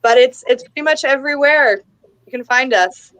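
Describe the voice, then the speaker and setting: A woman's voice: sits high, normal speed, fairly bright tone, no room sound, rough recording. A woman speaking casually as a panelist, chatty and enthusiastic. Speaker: panelist, product maker. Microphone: video call.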